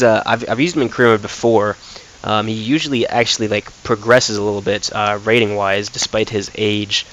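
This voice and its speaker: A man's voice: lowish voice, fairly bright tone, slight background noise, low-quality recording. A young man casually commenting while playing a football game, thinking aloud with lots of fillers and restarts. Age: young adult. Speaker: gaming commentator.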